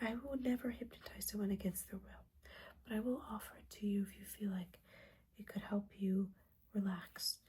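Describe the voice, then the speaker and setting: A woman playing a gently judging, caring witch mentor in an ASMR roleplay, whispering soothingly and conversationally. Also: mid-range voice. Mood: soothing, hypnotic. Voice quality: breathy whisper.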